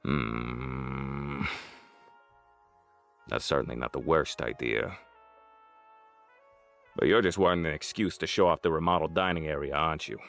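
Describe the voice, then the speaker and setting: An actor playing a powerful, cynical crime boss in an audio drama, with a dry, guarded, world-weary manner. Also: male voice, rumbling low, fairly even intonation, measured pace, gravelly, long pauses, a bit bright, faint music behind, full character voice. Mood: cynical, wry.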